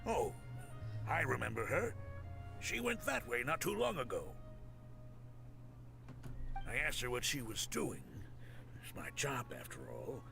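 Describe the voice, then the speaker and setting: A woman voicing a dutiful male city guard in a lowered, gravelly put-on voice. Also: sing-song, a little slow, long pauses, exaggerated voice. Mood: matter-of-fact. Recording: clear game music behind.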